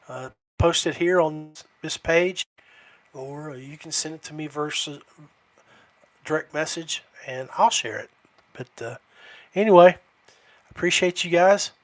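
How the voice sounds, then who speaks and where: A man hosting a podcast in a casual, folksy way, halting and often trailing off. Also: on the high side. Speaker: podcast host. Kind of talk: casual livestream chat.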